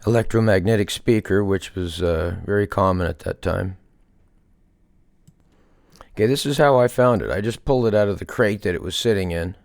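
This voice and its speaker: A man with a fairly low voice and a crackly, fry-laden edge talks through a project as a hobbyist. His delivery is unscripted and casual, a little hesitant as he works things out aloud. The sound is dry, with very little room in it. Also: moderate pace, no echo. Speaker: hobbyist restorer presenting a project. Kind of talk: casual unscripted show-and-tell narration.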